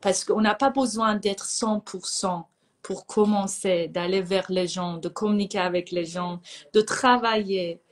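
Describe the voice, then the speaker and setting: A woman from Iran whose first language is Persian, a psychologist chatting as a guest in a calm, reflective way and often pausing to find her words. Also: mid-range voice. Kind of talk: casual conversation.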